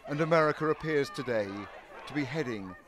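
A male correspondent reads his report in a measured, grave voice, a touch higher than most men. Loud crowd noise and street clatter sit underneath him. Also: expressive. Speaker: news correspondent. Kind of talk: news report voice-over.

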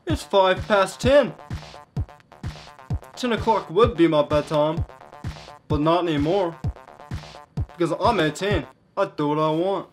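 A young man of eighteen speaks in a slightly high voice while vlogging to a camera in his bedroom. He rambles and brags in a goofy way. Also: unhurried, long pauses, faint music behind. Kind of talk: comedy vlog. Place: bedroom with gaming setup.

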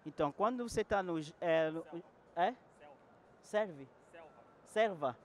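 Man, high voice, French first language, earnest, handheld mic, big event hall. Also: expressive, slow pace, darkish tone. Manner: earnest, searching for words. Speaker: panel guest. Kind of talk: casual talk at a public panel. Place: large indoor event hall.

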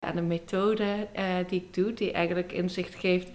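A woman drama therapist giving a hesitant interview with many pauses, in a workshop room. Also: on the low side, fairly even intonation, a little mellow.

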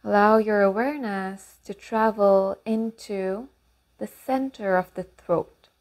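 Slow-paced woman guiding meditation. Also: mid-range voice. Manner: calm, unhurried instruction with long pauses. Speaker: meditation guide. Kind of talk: guided meditation with affirmations.

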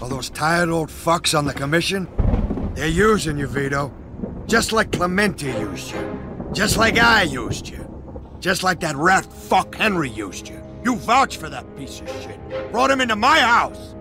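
An actor playing a volatile American mafia don in a crime game, taunting and full of contempt. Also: male voice, a little high, very animated, unhurried, music in the background. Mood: contemptuous, taunting. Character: sneering, volatile mafia don, betrayed and furious. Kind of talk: cinematic crime game acting.

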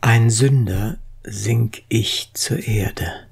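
Slow solemn poetry recital, really breathy husky voice with some gravel in it, expressive. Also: mid-range voice. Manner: solemn, expressive, deliberate phrasing. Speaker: poetry reader.

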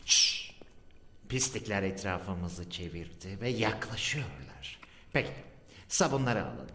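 A bombastic blue cartoon superhero, lively, bright tone, heroic proclamations. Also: male voice, deep voice, slow, exaggerated voice. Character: huge blue male superhero, bombastic and cheerfully dim. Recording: echoey reverberant mix.

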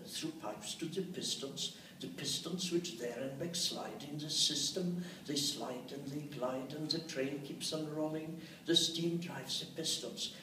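A man's voice: mid-range voice, bright, forceful tone. Elderly man, roomy distant recording. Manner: rhythmic, emphatic delivery when reciting. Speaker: translator reading own verse translation. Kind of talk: expressive poetry recitation with spoken commentary. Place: indoors, meeting room. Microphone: camera or phone a short distance away. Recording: roomy, distant mic with faint noise.

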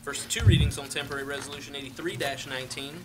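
A man's voice: mid-range voice, fairly even intonation, fast. A man chairing a council meeting as its president, speaking in formal procedural language in a large chamber. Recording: noisy council chamber room recording.

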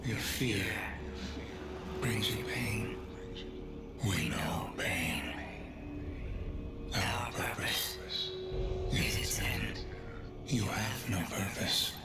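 An actor playing an ancient, cold, menacing godlike entity in a game cutscene, solemn, deliberate and commanding. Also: male voice, lowish voice, over loud music. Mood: cold menace.

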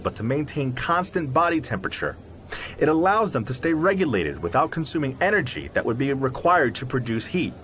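A man's voice: medium pitch, a bit bright, a little hiss or hum. A man playing an articulate, self-assured zoologist explaining his field in an audio drama interview, in an emphatic, professorial manner. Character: articulate, self-assured male zoologist who believes in Sasquatch. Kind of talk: audio drama interview, scripted but conversational.

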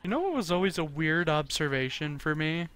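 A man's voice: fairly high pitch, very animated, fairly bright tone, clear, resonant tone. A young man casually chatting over co-op gameplay, enthusiastic and jokey. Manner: enthusiastic, jokey, quick reactions. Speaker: gaming channel host.

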